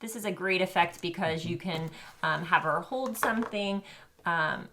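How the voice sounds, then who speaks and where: A woman with a bright, forward voice explains crafts in a friendly, encouraging way. She co-hosts the show and talks casually to young viewers. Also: on the low side, moderate pace. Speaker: co-host. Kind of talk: casual how-to chat.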